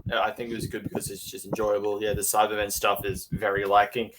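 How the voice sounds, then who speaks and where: A man's voice: fairly low pitch, flat delivery, quick pace. A man giving his opinion in casual review chat over an online call. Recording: noisy call microphone.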